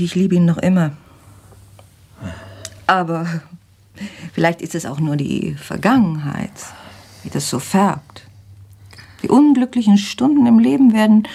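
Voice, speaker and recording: A woman's voice: medium pitch, vocal fry, mellow. An actress playing a wounded, disdainful well-off older wife and mother in a radio drama, speaking in a brittle way. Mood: wistful. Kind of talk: naturalistic radio drama acting.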